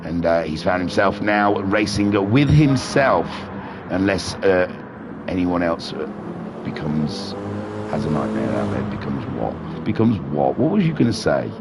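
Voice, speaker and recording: A man with a head cold and a nasal voice, excitedly commentating a sim race with jokey, rambling asides. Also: deep voice, unhurried, background noise. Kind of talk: live sim racing commentary.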